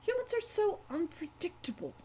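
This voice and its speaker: A woman voicing a scornful, aloof, non-human female shopkeeper of magic in a storybook, condescending and measured. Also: very high-pitched, very animated, warm tone, slight background noise, pitched above the performer's own voice, poor audio. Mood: scornful, bored.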